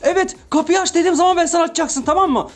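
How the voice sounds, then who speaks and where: A woman hosting a children's play video as a parent, in an excited, play-acting style, exclaiming and repeating short commands. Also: very high-pitched, rapid-fire.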